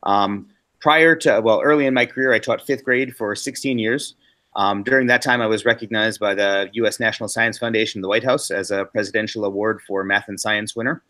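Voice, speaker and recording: A man's voice: on the low side, fairly even intonation, a little fast, sharp tone, no room sound. An American man in his forties, a science teacher, answering interview questions fluently and with self-deprecating reflection. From United States. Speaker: guest teacher.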